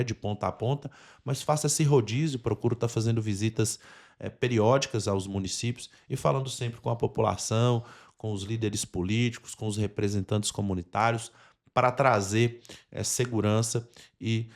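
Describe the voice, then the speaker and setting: A man's voice: on the low side, darkish tone. A man with a Brazilian accent hosting a podcast, explaining things earnestly and addressing listeners directly. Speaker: podcast host and institute representative.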